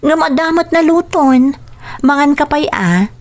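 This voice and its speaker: A woman playing a patient, dutiful young wife in an Ilocano radio drama, speaking gently and hesitantly. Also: sits high, animated, a little slow. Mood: caring, coaxing.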